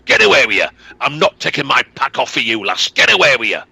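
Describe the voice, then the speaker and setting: A man voicing a cursed peddler from a historical tale, shouting in gruff northern dialect. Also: medium pitch, big pitch swings, quick pace, no room sound, full character voice. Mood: gruff dismissive. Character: injured middle-aged male peddler, frantic.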